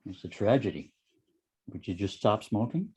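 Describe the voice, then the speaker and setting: A man acting an inquisitive ad man at a bar, in a low, husky voice that moves around a lot. He probes conversationally, as in an informal drama table read. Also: big pitch swings, normal speed, darkish tone, full character voice. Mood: curious, casual.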